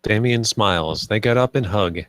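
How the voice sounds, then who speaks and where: A man's voice: on the low side, normal speed, fairly bright tone, no echo, studio quality. A man narrating scripted stage directions at a screenplay table read.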